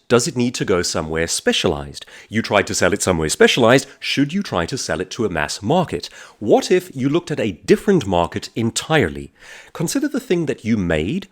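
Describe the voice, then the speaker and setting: A man giving a motivational business talk as a presenter, phrasing points emphatically and deliberately, with frequent rhetorical questions. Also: medium pitch. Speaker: author and speaker presenting a business advice talk.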